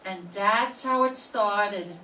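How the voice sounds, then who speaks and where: An older woman playing a wry, streetwise young woman informant in a 1940s-style radio drama, telling her story slowly. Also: mid-range voice, pushed and bright. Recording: roomy classroom from a distant mic, faint hiss.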